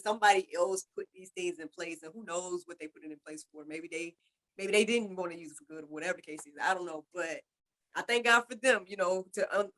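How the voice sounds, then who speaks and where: A woman's voice: lowish voice, a bit bright, rough recording. A woman podcast host chatting casually in an interview, with an informal, rambling way of talking. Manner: informal, rambling, repeats "you know".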